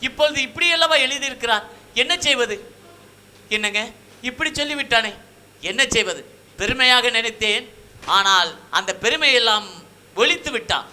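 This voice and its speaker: A man's voice: very high voice, animated, moderate pace, pauses often, pushed and bright, exaggerated voice. A man voicing a distressed queen mother in a Tamil puppet drama, declaiming in a lamenting way. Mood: dismayed.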